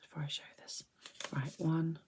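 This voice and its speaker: A middle-aged woman, chatting softly and rambling on a close mic for an ASMR video, often slipping into whispers. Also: low-pitched, little pitch movement, moderate pace, a little hiss or hum. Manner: soft-spoken, drifting into whispers, chatty and rambling. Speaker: ASMR creator. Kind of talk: soft-spoken ASMR chat.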